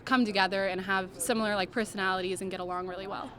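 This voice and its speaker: A woman's voice: mid-range voice, little pitch movement, fast, a bit bright. A young woman, a college basketball player, answering reporters' questions in an upbeat, chatty way, with fillers like "I mean" and "like". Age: young adult. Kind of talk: media interview answers.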